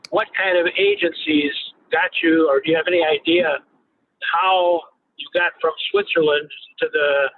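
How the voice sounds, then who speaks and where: Man on a tinny phone line. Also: on the high side. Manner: questioning, searching for words. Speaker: audience member asking a question by phone. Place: car. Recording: tinny phone line from a car, faint noise.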